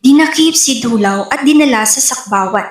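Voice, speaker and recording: A woman's voice: a little high, animated, normal speed. A woman with a Filipino accent reading scripted narration for a folk epic dramatization. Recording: echoey room.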